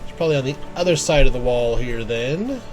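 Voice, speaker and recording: A man's voice: medium pitch, lively intonation, brisk, music in the background. A man giving casual live gameplay commentary, muttering to himself as he plays. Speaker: game streamer reading quest dialogue aloud.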